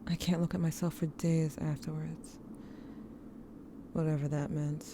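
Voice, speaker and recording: A woman playing a haunted, grieving diarist in an audio drama, narrating in a measured, brooding and intimate way. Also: low-pitched, level tone, noisy background. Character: haunted, grieving diarist recounting dreams to a lost sibling. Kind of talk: scripted first-person audio drama narration.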